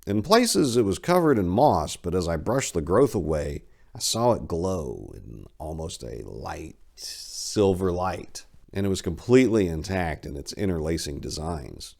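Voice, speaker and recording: Deep, gravelly man reading dramatically. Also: measured pace. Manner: expressive, theatrical storytelling with hand gestures. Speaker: reader. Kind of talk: dramatic reading of a horror story. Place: indoor study lined with bookshelves. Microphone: lapel mic.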